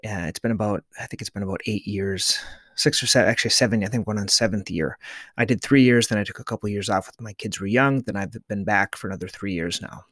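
A man's voice: on the low side, fast, fairly bright tone, no room sound. A middle-aged man hosting a podcast, talking casually in a rambling way with many restarts and fillers. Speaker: podcast host, podiatrist.

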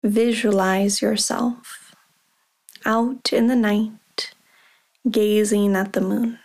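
A woman leading a guided meditation, speaking softly and calmly with long pauses. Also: medium pitch. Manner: slow, soft and calm, with long pauses.

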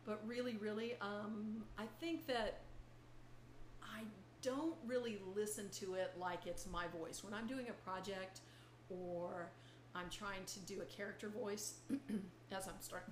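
A woman voice-over artist guesting on a podcast, with a natural gravel to her voice, chatting in a playful, candid way. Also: mid-range voice, fairly even intonation, unhurried. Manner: playful, candid, hesitant restarts. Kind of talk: casual podcast chat. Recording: echoey room with faint noise.

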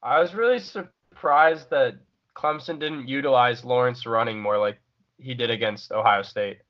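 Man, mid-pitched voice, even-tempered sports talk, restarts sentences, muffled lo-fi recording. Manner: measured, conciliatory, frequent restarts. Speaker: podcast co-host. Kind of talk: casual sports debate.

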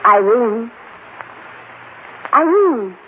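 A woman's voice: on the high side, very animated, very slow, heavily acted. An actress playing a loyal, indignant female cousin and confidante in an emotive 1940s radio drama style. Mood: concerned. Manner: emotive, theatrical. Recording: scratchy, tinny old radio broadcast.

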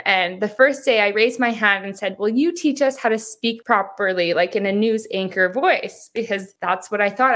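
Talkative, soothing-voiced woman guest. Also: medium pitch. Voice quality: soft, soothing voice. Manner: talkative, upbeat, laughs often. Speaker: podcast guest, hypnotherapist. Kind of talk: casual interview chat.

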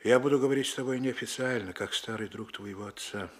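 An actor playing an earnest, fatherly Soviet police chief in a radio drama, coaxing and emphatic, with a husky voice. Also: mid-range voice, fast, natural voice. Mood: earnest, persuasive. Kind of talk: Russian radio drama acting.

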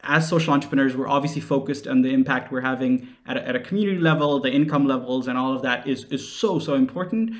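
A young man from Nepal speaks as an interview guest at a middling pitch. He explains things earnestly, in an easy conversational style, as a social entrepreneur would about their work. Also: fast, hardly any pauses. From Nepal, raised in several countries. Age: late 20s.

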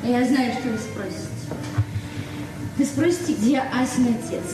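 A woman's voice: fairly high pitch, measured pace, natural voice. An actress playing a naive, candid, shy librarian in her forties in a 1970s Soviet radio play, earnest and hesitant. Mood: candid, nervous. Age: middle-aged. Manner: childlike, earnest, hesitant, questioning. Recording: echoey distant 1970s stage-like recording, music behind.

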